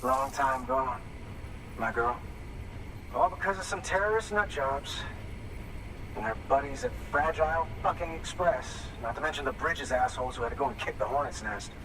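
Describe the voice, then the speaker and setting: An actor playing a bitter, grieving junk dealer in a video game cutscene, curt and sardonic. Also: male voice, medium pitch, expressive, normal speed, natural voice. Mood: bitter, hostile. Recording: distant voice with clear ambient noise.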